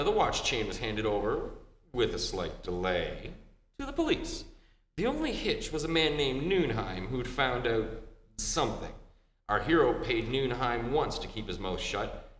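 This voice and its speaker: Detective, male actor, middle-pitched and animated, shrewd wisecracker, old-time radio play, roomy hall with faint hum. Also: close to an everyday voice. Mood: confident. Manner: deliberate explaining, dry wisecracks. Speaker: amateur stage actor.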